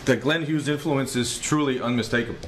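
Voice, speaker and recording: A male music interviewer who talks quickly, with an ordinary mid-range voice. He asks eager, leading questions and keeps nodding along with little affirmations. Faint ambience hums behind. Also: medium pitch, a little hiss or hum. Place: backstage at a concert venue.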